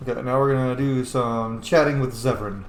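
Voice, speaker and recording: A man's voice: medium pitch, steady tone, a little fast, reverberant, slight background noise. A man doing casual video game playthrough commentary and reading out dialogue choices. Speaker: gamer playing through a role-playing game.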